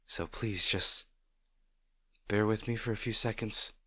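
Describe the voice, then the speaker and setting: A man playing a gentle, protective boyfriend in an intimate roleplay audio, speaking in a tender, reassuring way. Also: fairly low pitch, steady tone, measured pace, breathy edge, no echo, clean studio sound, heavily acted. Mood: tender, soothing. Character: gentle, protective boyfriend comforting a distraught partner.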